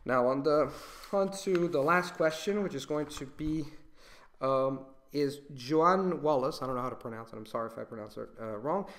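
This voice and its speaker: A young Italian man answering viewer questions in a casual monologue, pausing and restarting often as he thinks aloud. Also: medium pitch, a bit bright. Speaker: language learning video host.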